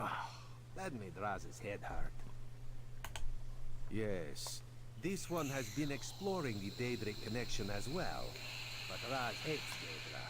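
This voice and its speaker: A man's voice: mid-range voice, noisy background. An actor voicing a wry, suspicious male Khajiit investigator in a video game, who speaks of himself in the third person. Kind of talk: video game character dialogue.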